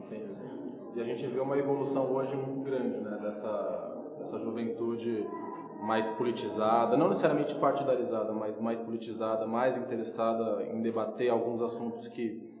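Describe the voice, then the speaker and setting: A man's voice: medium pitch, steady tone, normal speed, a crowd in the background. A Brazilian man commenting conversationally as an interviewer. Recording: echoey reverberant room.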